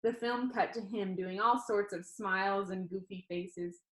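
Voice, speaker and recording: A woman's voice: medium pitch, unhurried. A woman reading a first-person novel aloud as narrator. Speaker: audiobook reader. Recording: echoey room.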